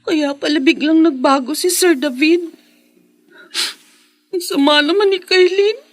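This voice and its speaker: A woman's voice: very high-pitched, a little slow. A woman with a Filipino accent voicing a poor, wronged young schoolgirl in an emotional, tearful radio drama style. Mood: hurt.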